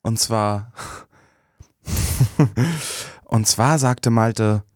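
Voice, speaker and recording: A man's voice: mid-range voice, drawn-out words, a little mellow, no echo, studio quality. A young man hosting a radio show, bantering casually with friends in a slangy, loose, hesitant way.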